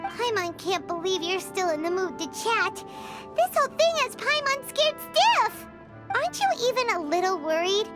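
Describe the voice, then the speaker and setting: A tiny, worried fairy sidekick from an anime game, with an extremely high, childlike squeak. The delivery swings widely in pitch, over loud music. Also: female voice, sing-song, moderate pace. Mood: scared, fretful. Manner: squeaky, refers to self in third person. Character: small anxious childlike fairy companion, female.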